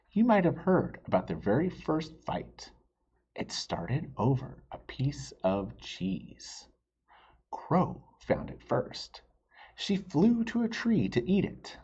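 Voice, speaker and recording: A husky-voiced man reads a picture book aloud to children, slow and unhurried, like a teacher. His pitch swings widely as he stops to ask the listeners questions. The recording is dull and lo-fi. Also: a little mellow. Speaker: read-aloud storyteller.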